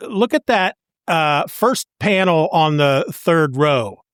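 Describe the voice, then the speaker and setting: A man's voice: a little high, animated, slow pace, a bit bright, no room sound, studio quality. A man co-hosting a podcast, chatting casually about a comic book with wry humor. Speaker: podcast co-host.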